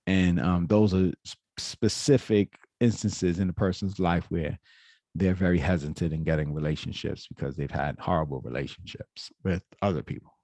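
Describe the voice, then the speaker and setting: A man talks into a close mic with a low, mellow voice and a very dry sound. He lectures in a casual, opinionated way, often restarting his sentences mid-thought. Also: fairly even intonation, normal speed, no room sound. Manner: lecturing, frequent restarts and self-corrections. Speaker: reaction video host.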